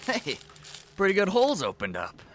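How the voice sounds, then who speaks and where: An actor playing a cocky, suspicious younger junkie in a radio drama, talking in gruff, slangy questions. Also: male voice, high voice, wildly swooping pitch, normal speed. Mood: irritated. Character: cocky, suspicious younger male junkie hired for dirty work. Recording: loud noise and clicks behind.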